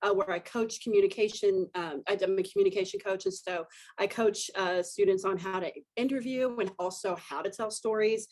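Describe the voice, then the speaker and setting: A woman's voice: medium pitch, normal speed, a little mellow. A woman, a guest on a podcast, chatting about herself in an enthusiastic, rambling way. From Texas. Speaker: podcast guest, communication professor. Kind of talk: casual podcast chat.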